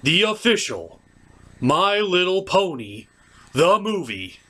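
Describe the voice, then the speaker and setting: A man hosting a comic movie review, narrating theatrically with dramatic pauses and emphasis. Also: high-pitched, sing-song, bright, forceful tone.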